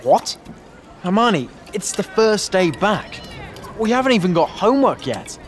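A woman's voice: on the low side, expressive, normal speed, natural voice. A woman reading an audiobook, voicing a wry, teasing teenage schoolboy.

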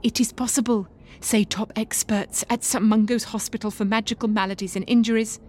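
A gossipy female newspaper reporter, acted by a woman, high in pitch. She reads her sensational article with emphasis and insinuation, as in audiobook drama. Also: fairly even intonation, brisk, darkish tone, a little hiss or hum, close to an everyday voice. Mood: insinuating. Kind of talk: dramatised reading of a tabloid article.

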